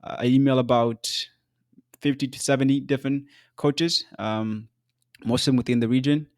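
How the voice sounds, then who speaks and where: A man's voice: medium pitch, steady tone, no room sound. Earnest young man, dry close sound. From South Sudan. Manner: measured, earnest delivery with occasional um and restarts. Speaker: podcast host. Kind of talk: scripted solo podcast narration.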